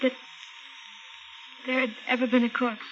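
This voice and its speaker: An actress playing a frightened, unravelling young woman in a 1950s radio thriller, with halting, fragmented phrases. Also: a little high, little pitch movement, natural voice. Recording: muffled old radio recording with loud music.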